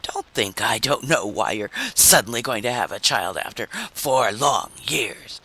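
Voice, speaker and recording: A man's voice: lively intonation, unhurried, husky, heavily acted. A man voicing an enraged, jealous husband with a nasal, gasping voice, ranting in short phrases in a vintage radio science fiction drama. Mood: furious. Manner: shrill, screechy, rising to yells. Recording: noisy vintage radio recording.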